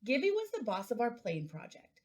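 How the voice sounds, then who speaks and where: A woman's voice: a little high, huge pitch swings, normal speed, a little mellow. A woman reading a children's storybook aloud as narrator. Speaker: school administrator reading aloud.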